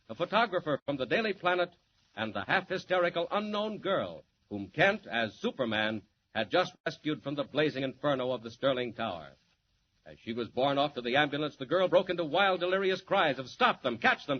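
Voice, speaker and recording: A man's voice: a little high, muffled recording. A man announcing and narrating a 1940s radio serial in a dramatic, declamatory way. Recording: scratchy 1940s radio transcription.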